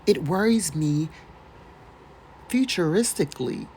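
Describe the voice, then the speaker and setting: A woman's voice: low voice, animated, slow, darkish tone, slight background noise. An American woman doing a dramatic read-aloud with casual, mocking asides and exclamations, in a theatrical manner. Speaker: host doing a dramatic reading.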